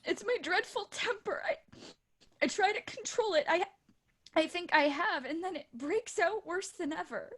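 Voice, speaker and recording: A woman acting a passionate, remorseful teenage girl in an audio play, husky and breathy, earnest and emotional. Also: very high voice, expressive, normal speed, fairly bright tone. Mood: distraught, guilty.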